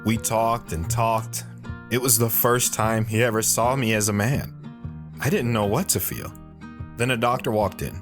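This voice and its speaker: A man's voice: on the low side, moderate pace, music in the background, natural voice. An actor playing a reflective grown man recounting his boyhood in a first-person audio drama. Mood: nostalgic.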